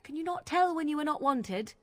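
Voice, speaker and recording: A woman's voice: high voice, animated, brisk, a bit bright. An actress voicing a haughty, irritated woman in a video game, speaking with formal disdain. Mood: scornful, irritated. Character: haughty, irritated woman mage scorning a dog.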